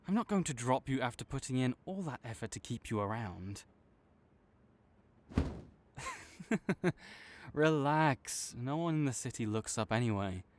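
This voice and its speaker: A man playing a tsundere supervillain in an audio roleplay, halting and exasperated, teasing and reluctantly caring toward a rival. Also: medium pitch, lightly acted. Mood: reassuring, teasing. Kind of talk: intimate audio roleplay addressed to the listener.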